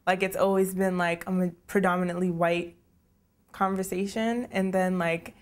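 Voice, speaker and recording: Young woman, kinda flat lowish voice, says like a lot, thoughtful. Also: normal speed. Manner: thoughtful, many fillers. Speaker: program participant.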